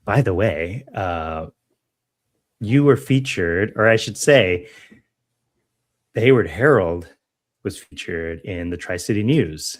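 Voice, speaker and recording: A man's voice: low voice, lively intonation, slow, long pauses. A man co-hosting a local news podcast in casual chat, hesitant and often restarting, stumbling over names. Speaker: podcast co-host.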